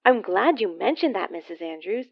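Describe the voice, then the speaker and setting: A woman's voice: medium pitch, lively intonation, moderate pace, creaky. A woman playing Betty, a polite, eager teenage girl heard through a telephone in an old radio comedy. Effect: telephone filter. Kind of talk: 1940s radio comedy acting. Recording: muffled telephone-line sound.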